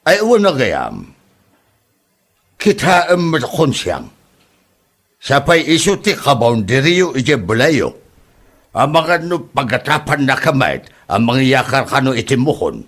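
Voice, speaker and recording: A man's voice: mid-range voice, big pitch swings, measured pace, long pauses, a bit bright, heavily acted. Man playing an exasperated older Ilocano village father. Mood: aggrieved. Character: aggrieved older village father accused over a land boundary. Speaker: actor in a comedy drama. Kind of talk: Ilocano comedy drama acting.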